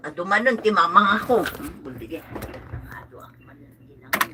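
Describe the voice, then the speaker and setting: A woman's voice: lowish voice, lively intonation, a little fast, close to an everyday voice. Woman acting hungry gushy ilocano auntie, radio drama, swingy voice, lots of noise on the recording. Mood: savoring, flattering. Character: middle-aged auntie, effusive hungry dinner guest. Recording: noisy amateur live recording with clicks.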